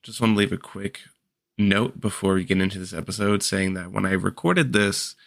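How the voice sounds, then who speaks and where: A man hosts a podcast in a relaxed, rambling way, his voice sitting very low with a gravelly fry. He sounds a little stuffy from a cold, and the tone carries a bright, forward edge. The recording is dry and close. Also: normal speed, dry recording. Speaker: podcast host. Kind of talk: casual podcast chat.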